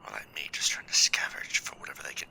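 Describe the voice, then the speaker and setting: A man playing a proud, bitter stranded mech warrior in an ASMR sci-fi roleplay, speaking in a hushed, solemn, formal way. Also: quick pace. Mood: hushed, wary.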